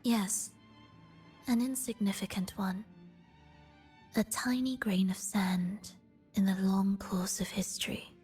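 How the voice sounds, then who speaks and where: A woman voicing a melancholic, enigmatic composer and conductor in a video game, solemn and poetic in a soft, breathy voice. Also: medium pitch, level tone. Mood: mournful, solemn. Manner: solemn, poetic, measured delivery. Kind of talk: dramatic video game voice acting. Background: background music.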